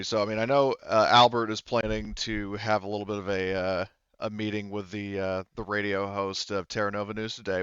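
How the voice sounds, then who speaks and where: A man running a tabletop roleplaying game as game master, recapping in a halting way as he thinks aloud. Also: fairly low pitch, a bit bright, clean tone, dry recording, dull audio.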